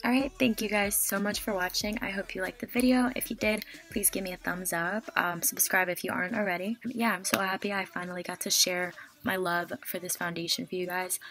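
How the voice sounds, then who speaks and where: A young woman in her twenties, slightly hoarse from a cough, chatting casually through a product review into a close webcam in a small bedroom. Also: mid-range voice, steady tone, a little fast, hardly any pauses, fairly bright tone, faint music behind. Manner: chatty, fillers like "like". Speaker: beauty vlogger. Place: small dim bedroom, indoors. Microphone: webcam at close range.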